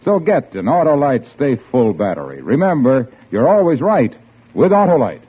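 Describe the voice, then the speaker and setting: A man announces for golden-age radio with a husky edge and very lively intonation. He sells with polish and emphasis. The recording is thin and band-limited, like an old broadcast. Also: mid-range voice, moderate pace, narrow, tinny audio. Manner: emphatic, polished salesman delivery. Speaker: announcer and sponsor pitchman. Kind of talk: 1940s radio announcing, scripted ad copy. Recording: thin vintage radio broadcast, faint music.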